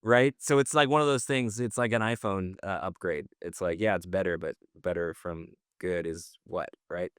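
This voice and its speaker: A man's voice: sits low, fairly even intonation, moderate pace, few pauses, fairly bright tone, smooth, dry recording, clean studio sound. A man co-hosting a podcast in casual conversation, giving slangy opinions and thinking aloud. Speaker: podcast co-host.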